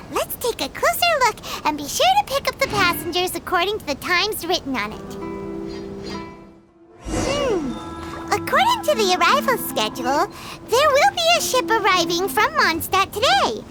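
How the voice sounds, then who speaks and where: A woman voicing a small, chirpy, childlike fairy companion with a squeaky voice in a video game. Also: sing-song, moderate pace. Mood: helpful, eager. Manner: bubbly, exclaiming. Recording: loud background music.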